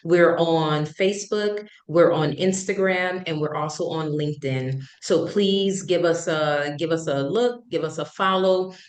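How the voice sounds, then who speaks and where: A woman's voice: deep voice, a little slow, hardly any pauses. A woman hosting a podcast, reading a short promo and then introducing and questioning her guest in loose, filler-filled speech. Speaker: podcast host. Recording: echoey room.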